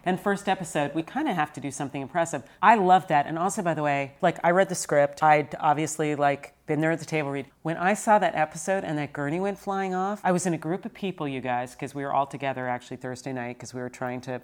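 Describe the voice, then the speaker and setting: Low-voiced woman gushing as host. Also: fairly even intonation, fast talker, hardly any pauses. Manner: enthusiastic, gushing, playful. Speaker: interview host and actress. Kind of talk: casual interview chat.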